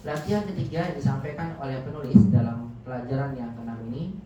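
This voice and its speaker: A man's voice: medium pitch, animated, quick pace, warm tone. Man teaching in an echoey mosque. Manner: formal, recites Arabic prayers between Indonesian. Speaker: Arabic teacher. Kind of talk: religious class opening with prayers. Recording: echoey room, distant mic, muffled.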